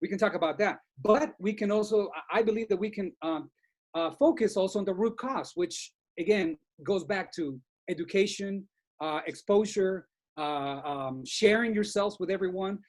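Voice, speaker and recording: A man's voice: high voice, normal speed. A man from Guatemala speaking earnestly as a panelist in a group discussion on a video call, often restarting and repeating words.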